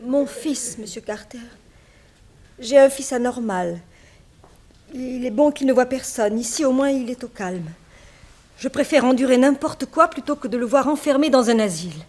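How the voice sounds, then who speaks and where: A middle-aged widow in an old radio mystery. Her voice is high, and she speaks politely, with guarded, sombre reserve. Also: expressive, moderate pace, a little mellow. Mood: sombre, resigned. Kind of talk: 1960s French radio detective serial acting.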